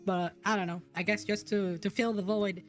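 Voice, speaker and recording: A woman's voice: mid-range voice, fairly even intonation, normal speed. A woman hosting a chat show, speaking English with Spanish as her first language. She rambles, restarts her sentences and giggles often. Faint music plays underneath her voice. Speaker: podcast host. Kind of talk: casual streamed chat.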